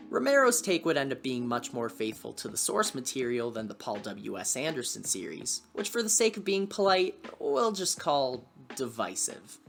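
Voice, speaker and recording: Very low-voiced woman narrating, lively delivery. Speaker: host of a video series on lost media. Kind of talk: scripted video essay narration.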